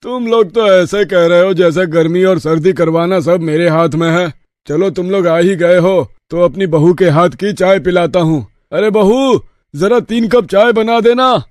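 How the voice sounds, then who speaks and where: A man's voice: high-pitched, unhurried, darkish tone, no echo, studio quality. A man playing an elderly village father-in-law in a family story, exclaiming and grumbling. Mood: bantering.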